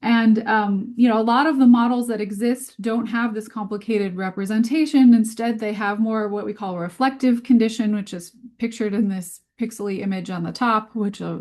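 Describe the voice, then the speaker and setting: A woman's voice: mid-range voice, a little mellow. Woman giving an informal science webinar. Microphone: video call.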